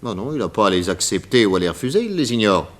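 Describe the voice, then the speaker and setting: Give a 1960s radio serial its detective boss. He is confident and professorial, with a lowish voice that rises and falls dramatically. He stresses key words and pauses for theatrical effect. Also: big pitch swings. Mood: emphatic, explanatory. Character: confident male detective boss explaining crimes to his assistant.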